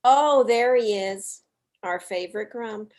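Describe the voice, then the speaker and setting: A woman's voice: mid-range voice, slow, a little mellow, lightly acted. An actress playing a teasing, needling mother of sixty with mock-sweet delivery in a comedic table read. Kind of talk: comedic dialogue reading.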